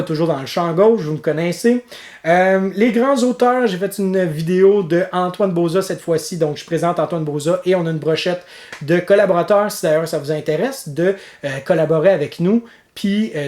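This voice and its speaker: A man's voice: on the high side, darkish tone, reverberant. A man with a Québécois accent giving a casual, chatty monthly vlog update as host of a board game channel, with many fillers and restarts. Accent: Québécois French.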